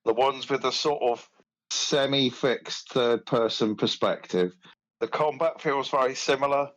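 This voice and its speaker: A man's voice: mid-range voice, low-quality recording. A man co-hosting a gaming podcast, talking casually and hesitantly, often restarting his sentences. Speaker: podcast co-host.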